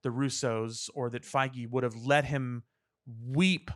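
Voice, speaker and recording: A man co-hosting a podcast, talking earnestly and articulately in casual discussion, with elaborate words and deliberate pauses. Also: medium pitch, fairly even intonation. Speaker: podcast co-host. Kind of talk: opinionated casual pop-culture discussion.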